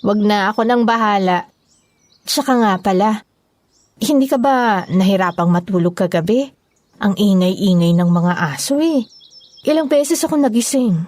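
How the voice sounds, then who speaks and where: A woman's voice: mid-range voice, expressive, normal speed, long pauses, a little mellow, close to an everyday voice. A woman playing a concerned, matter-of-fact young Filipina wife in an audio story, conversational. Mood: casual. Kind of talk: audio story drama dialogue.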